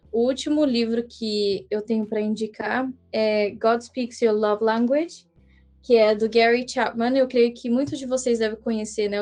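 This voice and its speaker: Earnest young woman, slightly high voice. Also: dark tone. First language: English. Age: young adult. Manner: earnest, measured storytelling with small restarts. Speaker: guest missionary. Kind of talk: casual podcast interview.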